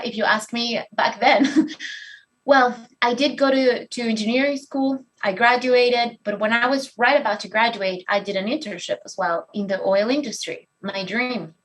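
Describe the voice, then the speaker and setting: A woman's voice: a little high, poor audio. Woman telling her own story. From Venezuela. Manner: candid and expressive, self-deprecating. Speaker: speaker giving a talk. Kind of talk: personal story talk.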